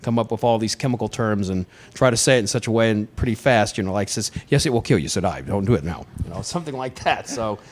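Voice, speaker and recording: A man's voice: fairly low pitch, lively intonation, fast talker, hardly any pauses. Man telling casual anecdotes. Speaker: voiceover actor, festival guest.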